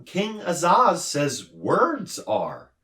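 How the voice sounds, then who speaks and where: A man voicing a polite, curious young boy in a storybook reading, his voice raised higher. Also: wildly swooping pitch, drawn-out words, bright, forceful tone, recorded from a distance, full character voice.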